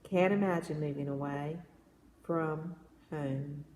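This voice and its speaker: A woman reading children's poems aloud in an expressive storytelling manner, recorded in an echoey room. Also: sits low, slow. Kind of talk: read-aloud of children's verse novel. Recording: echoey reverberant room with background noise.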